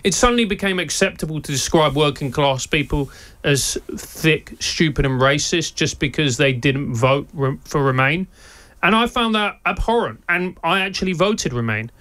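A man with a South London accent whose first language is Spanish, a former teacher and podcast co-host, talking in an interview with wry, lively storytelling. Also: fairly high pitch, normal speed. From England. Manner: lively anecdotal delivery with wry humour and laughs.

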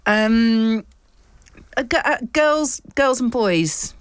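A woman's voice: a little high, animated, drawn-out words, smooth, dry recording. A woman hosting a radio interview, asking questions conversationally and often repeating words as she frames them. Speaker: radio host.

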